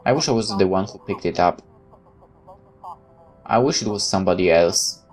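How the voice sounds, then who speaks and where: A man's voice: low voice, moderate pace, a little mellow. A man hosting a let's play, reading game text aloud expressively and adding casual reactions.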